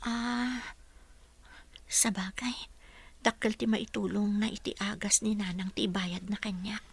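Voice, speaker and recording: An actress playing a young, spirited but wary housekeeper in an Ilocano radio comedy-drama. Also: female voice, medium pitch, fairly even intonation, measured pace, creaky, breathy edge.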